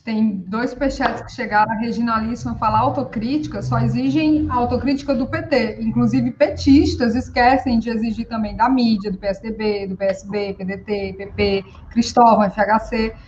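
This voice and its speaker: Hoarse Brazilian woman reading viewer messages. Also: fairly high pitch, fairly even intonation, normal speed, few pauses, a little mellow. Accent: Brazilian Portuguese. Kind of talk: reading live chat messages. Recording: dull stream audio with faint noise.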